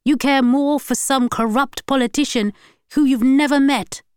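An angry middle-aged husband blusters and shouts in a storybook reading, played by a woman narrator. He attacks first, loud and raised in pitch. The sound is smooth and close, with no room echo. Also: fairly even intonation, moderate pace, natural voice.

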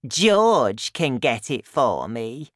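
A woman's voice: a bass voice, sing-song, extremely slow, no room sound, clean studio sound, heavily acted. A woman voicing a bossy, grumbling, greedy old grandmother in a storybook, demanding and scolding.

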